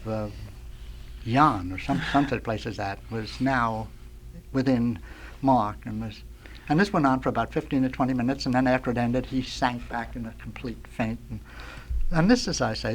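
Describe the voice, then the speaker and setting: Older American man reminiscing, rambling and halting. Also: medium pitch, slight background noise, low-quality recording. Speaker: radio guest, veteran sound effects man. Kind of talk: nostalgic anecdotal storytelling. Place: radio studio.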